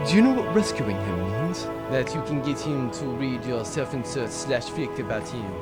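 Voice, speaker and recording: A man's voice: mid-range voice, lively intonation, moderate pace, loud music behind the voice, lightly acted. A man playing a melodramatic crew member of a spaceship in a comedic audio drama. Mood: excited, melodramatic.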